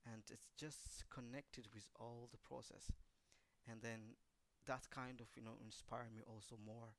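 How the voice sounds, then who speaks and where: A man, a choreographer and dancer, telling an interviewer about a creative project, speaking haltingly and searching for words. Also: medium pitch, little pitch movement, vocal fry.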